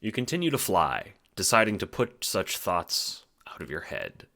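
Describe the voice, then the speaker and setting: Animated, low-voiced male narrator. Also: normal speed. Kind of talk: scripted second-person audiobook narration.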